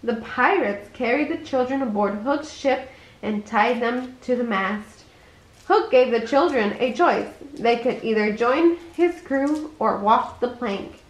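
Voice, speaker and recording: Higher-voiced woman reader in an echoey room. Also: lively intonation, unhurried. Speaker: storybook reader. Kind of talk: expressive children's bedtime story read-aloud.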